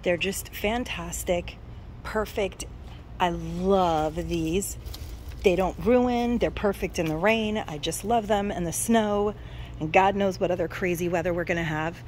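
Low-pitched gushing woman vlogger. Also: a little slow, a little mellow, slight background noise. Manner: gushing, enthusiastic about products. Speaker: shopping vlogger. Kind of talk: casual store walk-through chat. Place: inside a large retail store. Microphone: handheld phone.

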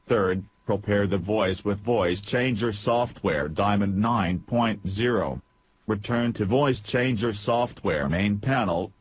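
Slow, husky male tutorial narrator. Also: fairly low pitch, no echo. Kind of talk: scripted step-by-step narration.

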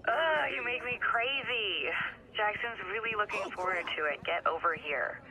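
A woman's voice: mid-range voice, lively intonation, normal speed, sharp tone, rough recording. A woman voicing an exasperated but affectionate sister in a game, chiding fondly over the phone. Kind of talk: game phone-call dialogue.

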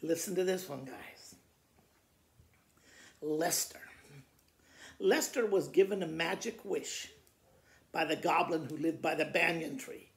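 A man in his sixties reads poetry to children with expressive, theatrical delivery. His voice is gravelly and recorded in a roomy living room. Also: fairly high pitch, slow pace, long pauses. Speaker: school principal reading to students. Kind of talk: storytime poetry reading. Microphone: camera a short distance away. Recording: roomy indoor echo.

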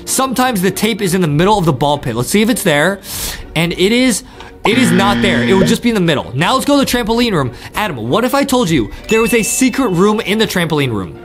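High, excitable young man. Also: fast talker, soft background music. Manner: excitable, emphatic, addresses viewers constantly. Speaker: host of gaming videos. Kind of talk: hyped gameplay commentary for children.

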